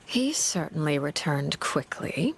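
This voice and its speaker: A woman playing a poised, calculating diplomat and intelligence operative in a video game, measured, courteous and guarded. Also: low voice, very animated, vocal fry, a crowd in the background, exaggerated voice. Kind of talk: video game character acting. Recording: game soundtrack music behind voice.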